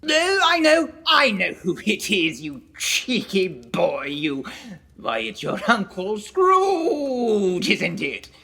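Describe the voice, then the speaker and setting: A jovial, slow-witted party guest in a radio drama, bumbling and blustery. His husky voice leaps wildly up and down. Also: high-pitched, huge pitch swings, bright, forceful tone, full character voice. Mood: eager. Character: jovial, dim, excitable male party guest. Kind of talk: radio play acting.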